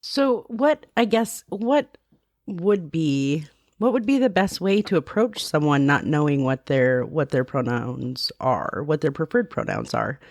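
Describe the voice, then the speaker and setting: A woman with a low voice and animated inflection co-hosts a podcast. She asks inquisitive questions, searching for her words as she goes. The sound is dry. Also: measured pace, no echo. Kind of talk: interview questions.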